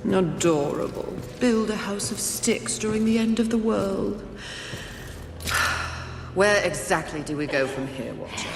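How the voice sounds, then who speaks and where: Scornful warrior lady in a game, impatient, pitch jumps around, echoey. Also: lively intonation, a little slow, gravelly. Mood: sardonic. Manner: sardonic, imperious, scornful. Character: Fury, proud, haughty, impatient female warrior horseman of apocalypse. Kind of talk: video game cutscene acting. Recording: echoey hall, music behind.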